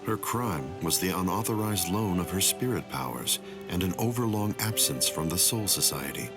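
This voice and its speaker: An actor playing a composed, courteous captain in an anime game, speaking in a measured, polite and probing way. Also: male voice, sits low, expressive, music in the background. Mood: grave, concerned. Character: composed, courteous, mild-mannered male captain. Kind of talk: English-dubbed anime game dialogue.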